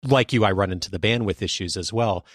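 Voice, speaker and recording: A man hosting a conversational interview podcast, speaking in a thoughtful way with measured phrasing. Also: lowish voice, dry recording, studio quality.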